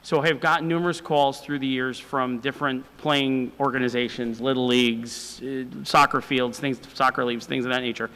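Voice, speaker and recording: A man with a naturally loud voice speaks as a city department official. He explains a proposal to the council and repeats his points. His voice carries a long reverb from the council hall. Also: mid-range voice, steady tone, fairly quick, few pauses, clear, resonant tone. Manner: explanatory, repetitive. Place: council chamber.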